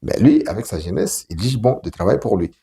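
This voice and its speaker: A man with a Congolese French accent hosting a talk show interview in a halting, deliberate way. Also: fairly low pitch, sing-song, gravelly, dark tone. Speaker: TV show host.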